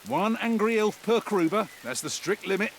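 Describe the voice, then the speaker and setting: An actor playing a wry, world-weary mercenary soldier in a video game, with a colloquial, grumbling delivery. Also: male voice, sits high, lively intonation, moderate pace, creaky, a little hiss or hum. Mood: dry, resigned. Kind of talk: scripted in-character mission briefing monologue.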